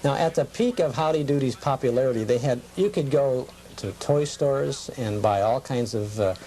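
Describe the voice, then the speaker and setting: A man's voice: mid-range voice, moderate pace, dark tone. A middle-aged American man hosting a conversational interview, asking inquisitive follow-up questions to check details. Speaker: host. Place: television studio. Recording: noisy, deteriorated old cable TV tape.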